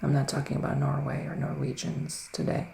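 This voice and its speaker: A soft-spoken man playing a gentle, mystical witch in an ASMR roleplay. His voice is creaky and often breaks into near-whispers, with slow, musing pauses. The room is echoey, with faint noise behind him. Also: medium pitch, completely flat delivery. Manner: slow, hesitant, musing, with murmured hums. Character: gentle, mystical male witch giving readings.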